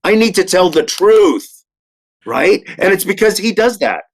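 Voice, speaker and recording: A man's voice: sits high, big pitch swings, a little slow. A man speaking as a panelist in a casual discussion, emphatic, repeating phrases to drive his point home.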